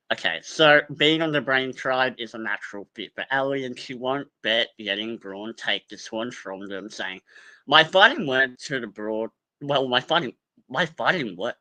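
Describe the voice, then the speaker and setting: A man's voice: medium pitch, sharp tone, rough recording. A young Australian man, a podcast host, chatting casually and swearing as he reads out cast bios and reacts to them.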